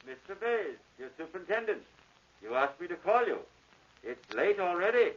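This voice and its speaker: On a dull vintage radio recording, a fussy male building superintendent. His voice is high, and he urges with brisk concern in 1940s radio drama style. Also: big pitch swings. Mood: urging, concerned. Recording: muffled old radio recording.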